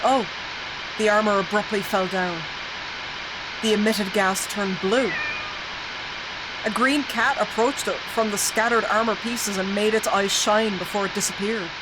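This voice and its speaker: A woman streamer reading a spooky visual novel aloud, breaking into jokey, excitable reactions between calm narration, with music behind her. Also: mid-range voice, measured pace, long pauses, noisy background.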